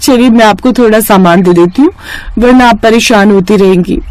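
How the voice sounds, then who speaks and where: A woman's voice: mid-range voice, normal speed, darkish tone, dry recording, natural voice. A woman voicing a friendly, earnest and outspoken young village woman in a moral story. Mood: friendly, concerned.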